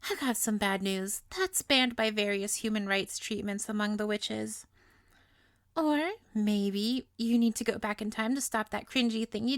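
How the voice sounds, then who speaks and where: A high-pitched, sweet young witch, acted by a woman speaks slowly in an intimate audio roleplay. She is playful and possessively adoring, drawing out her words with coy, theatrical affection. The sound is dry and close. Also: creaky, dry recording. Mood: wry, playful. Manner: coy, doting, theatrical, drawn-out.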